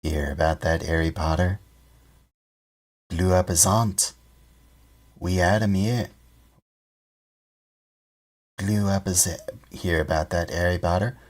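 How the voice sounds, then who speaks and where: A man voicing a chatty young male bus conductor, loud and eager in his gossip. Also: sits low, fairly even intonation. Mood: gossipy. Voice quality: loud, eager, talks in rapid bursts.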